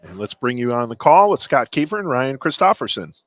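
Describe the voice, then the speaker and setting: Mid-pitched man hosting live sports radio. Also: sing-song, brisk, no echo. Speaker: sports broadcast host. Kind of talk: live sports halftime commentary.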